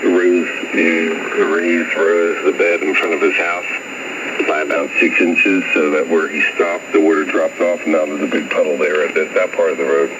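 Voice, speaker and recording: Deep-voiced American man on crackly shortwave. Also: flat delivery, normal speed. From Trenton, United States. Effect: shortwave radio. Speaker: amateur radio operator. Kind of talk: casual ham radio chat. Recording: muffled, distant shortwave radio with static.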